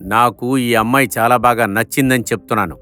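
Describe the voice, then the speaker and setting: A man's voice: medium pitch, steady tone, a little fast, bright, forceful tone, smooth, soft background music, natural voice. Man as a menacing, lecherous moneylender villain. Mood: gloating, lustful. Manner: gloating, threatening.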